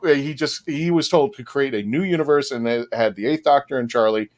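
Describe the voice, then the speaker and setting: A man's voice: medium pitch, a little fast. Enthusiastic man hosting a casual panel chat. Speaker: podcast host on a fan panel.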